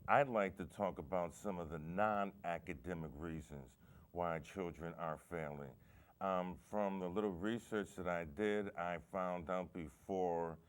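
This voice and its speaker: A man hosting a local talk show, asking questions in a measured, thoughtful way with some hesitations. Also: sits low, steady tone, smooth. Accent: American.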